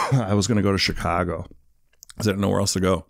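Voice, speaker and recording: A man's voice: low voice, steady tone, fast, gravelly, no echo, studio quality. A man telling his life story as a guest in a casual interview, rambling with lots of fillers. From England. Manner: rambling, many fillers, "you know what I mean".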